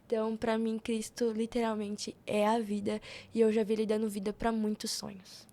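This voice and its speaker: Young woman, Brazilian accent, higher register, informal storyteller, podcast guest. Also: monotone, normal speed, dark tone. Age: young adult. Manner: informal and slangy, retelling conversations in quoted dialogue. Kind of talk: casual personal storytelling.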